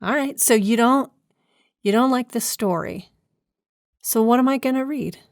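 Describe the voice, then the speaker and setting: A woman's voice: a little high, normal speed, no echo, clean studio sound. A woman hosting a podcast as herself, talking informally in a hesitant, conversational, wry way. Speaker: podcast creator and host, playing herself. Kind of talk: informal podcast talk in an audio drama.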